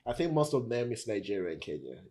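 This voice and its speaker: A man from Tanzania in casual conversation, relaxed, often repeating words as he thinks. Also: on the low side, quick pace.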